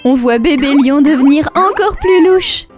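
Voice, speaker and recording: A woman singing rhymed narration for a children's cartoon, over loud music. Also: sits high, expressive, unhurried. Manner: sing-song rhyming lines.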